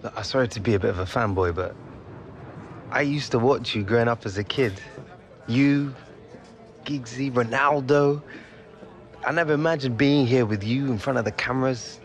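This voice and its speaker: A man's voice: medium pitch, normal speed, long pauses, lightly acted. A young man playing a confident rising star footballer in a video game cutscene, talking in a blunt, self-assured way. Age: young adult.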